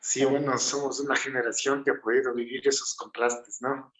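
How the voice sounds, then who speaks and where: A man's voice: medium pitch, little pitch movement, normal speed, a bit bright, poor audio. A man interviewing a guest, asking polite, slightly hesitant questions.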